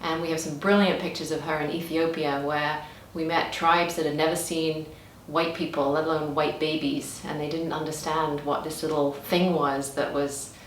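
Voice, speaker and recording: Low-voiced English woman, roomy and distant. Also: normal speed. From England. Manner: animated, chatty, with frequent restarts and fillers. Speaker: podcast guest. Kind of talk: casual conversational storytelling. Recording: roomy, distant mic with faint background noise.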